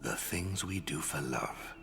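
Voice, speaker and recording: A man's voice: a bass voice, expressive, slow, creaky, music in the background, heavily acted. A man voicing a sly, bitter video game guide in a theatrical, insinuating murmur. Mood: bitter, scornful. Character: sly mysterious male guide, bitter, egging on rebellion.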